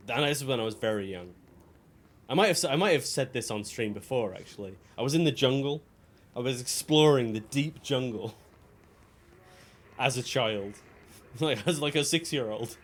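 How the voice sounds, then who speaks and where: A man's voice: medium pitch, lively intonation, moderate pace, long pauses, fairly bright tone. Man casually chatting while gaming. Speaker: game livestreamer. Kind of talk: casual chat while playing a video game.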